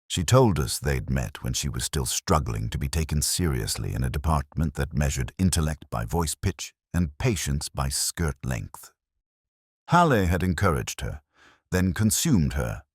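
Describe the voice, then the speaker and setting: Very deep-voiced man narrating a brooding mystery. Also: sing-song, unhurried, breathy edge, no echo, studio quality. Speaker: narrator of a first-person detective story. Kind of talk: scripted literary mystery narration.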